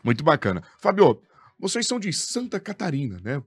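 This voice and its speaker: A man's voice: fairly high pitch, huge pitch swings, brisk, husky. A Brazilian man hosting a live radio interview. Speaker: radio host. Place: radio studio.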